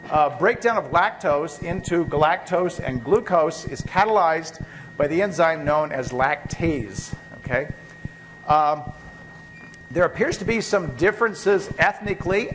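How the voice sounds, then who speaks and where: A man's voice: a little high, fairly bright tone, low-quality recording. Man lecturing while catching a cold. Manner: loose, rambling, many fillers and restarts. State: catching a cold, occasional coughs. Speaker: biochemistry professor. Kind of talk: university lecture. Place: lecture hall.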